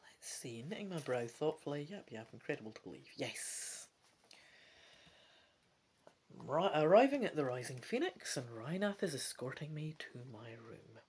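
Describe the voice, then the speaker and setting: A woman hosting a gamebook playthrough, reading dramatically in an expressive, playful way with casual asides. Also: rumbling low, moderate pace, slight background noise. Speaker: host of a gamebook let's play.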